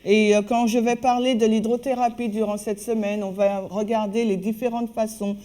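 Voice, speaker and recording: A woman working as a live interpreter, speaking in halting phrases with frequent hesitations and restarts. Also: on the high side, level tone, mellow. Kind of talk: consecutive spoken translation of a talk.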